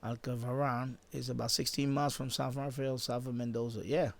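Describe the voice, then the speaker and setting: A man chatting off the cuff on a live stream, halting and repeating himself as he circles back to his points. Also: medium pitch, little pitch movement, vocal fry. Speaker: live-stream host, radio hobbyist. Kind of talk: casual unscripted live-stream chat.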